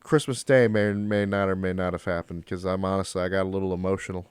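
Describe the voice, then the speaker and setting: A man's voice: low-pitched, fairly even intonation, fast, smooth, no echo. A young man co-hosting a podcast, stuffed up from a cold, talking loosely and swearing a lot while rambling. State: congested from a cold. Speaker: podcast co-host. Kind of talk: casual chat.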